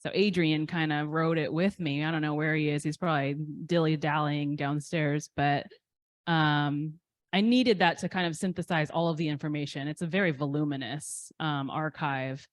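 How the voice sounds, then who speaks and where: A woman's voice: low-pitched, steady tone, normal speed, no room sound. A woman in her thirties, a poet answering audience questions in a candid, self-deprecating way with frequent ums. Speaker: poet guest. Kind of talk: casual interview answers.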